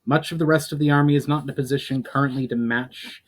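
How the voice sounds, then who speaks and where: A man voicing a weary but principled fantasy war leader with earnest, deliberate emphasis. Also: mid-range voice, fairly even intonation, voice pushed up, natural voice. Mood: commanding.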